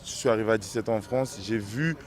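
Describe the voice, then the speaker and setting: Male pro player from Brazzaville, lowish voice, thoughtful and sincere, outdoor street interview. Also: fast, slight background noise. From Brazzaville, Congo. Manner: reflective, earnest, some restarts. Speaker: professional basketball player. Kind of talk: interview answers.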